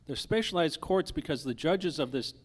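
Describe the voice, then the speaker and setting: A man's voice: fairly high pitch, moderate pace. A man running for judge, arguing formally and emphatically in a lawyerly way at a candidate forum. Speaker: judicial candidate at a public forum. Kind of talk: formal debate argument.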